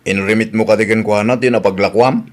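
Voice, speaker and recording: A man playing an inquisitive, low-key old husband in an Ilocano radio drama. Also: steady tone, fast talker, lightly acted.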